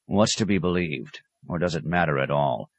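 Man voicing a weary, bitter old abbot, low. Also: lively intonation, moderate pace, breathy edge, full character voice. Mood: bitter, questioning. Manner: grave, rhetorical, sardonic. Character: weary, bitter, brooding aging male abbot.

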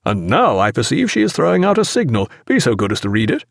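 A man's voice: mid-range voice, huge pitch swings, quick pace, creaky, no room sound, clean studio sound, exaggerated voice. A man voicing a big, genial, blustering naval captain with exclamatory, old-fashioned seafaring speech in an audiobook. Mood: pleased, commanding.

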